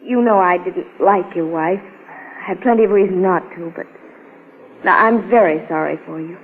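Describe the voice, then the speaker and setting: An actress playing a blunt, wounded working-class wife under police questioning in a vintage radio crime drama. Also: female voice, fairly low pitch, moderate pace, close to an everyday voice. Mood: subdued. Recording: muffled old-time radio recording.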